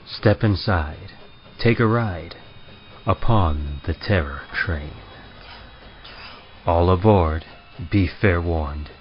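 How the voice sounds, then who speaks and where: A man playing an ominous train conductor, reciting slow theatrical rhymes in an old-time radio horror style. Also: low-pitched, slight background noise. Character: ominous deep-voiced male train conductor. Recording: dull sound with music behind.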